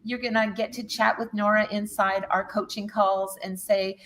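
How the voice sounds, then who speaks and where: A woman's voice: mid-range voice, little pitch movement, normal speed, a bit bright. A woman from Canada hosting a casual interview, upbeat and enthusiastic as she promotes her event. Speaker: host.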